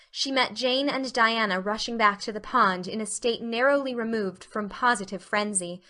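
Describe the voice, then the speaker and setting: A woman narrating a storybook audiobook in an expressive, scripted style. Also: fairly high pitch.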